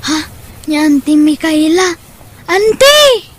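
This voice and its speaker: High-pitched, earnest young boy. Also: sing-song, takes it slowly, background noise, exaggerated voice. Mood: busy, surprised. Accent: Ilocano. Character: dutiful, poor young boy who sings and gathers trash. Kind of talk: Ilocano audio drama acting.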